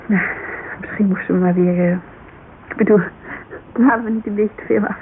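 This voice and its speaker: Muffled hissy radio drama, actress as grieving woman, gravelly creak, hesitant, confessional, self-reproachful. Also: medium pitch, steady tone, normal speed, close to an everyday voice. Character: grieving, self-reproachful woman confiding about her dead lover. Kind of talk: naturalistic radio drama dialogue.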